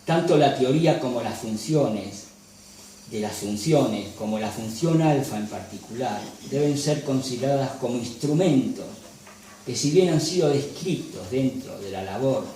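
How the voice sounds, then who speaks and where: A man reads a dense academic lecture in a measured, formal tone. His voice sits in an ordinary middle pitch. It is picked up from some distance and rings through a strongly reverberant hall. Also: expressive, mellow, a little hiss or hum. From Galicia. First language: Galician. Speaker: lecturer and photographer. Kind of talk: reading a prepared academic lecture.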